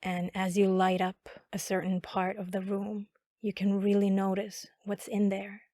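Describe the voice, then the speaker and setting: A woman guiding a body scan meditation in a calm, instructional manner with long pauses. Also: mid-range voice, dry recording, studio quality. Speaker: meditation guide.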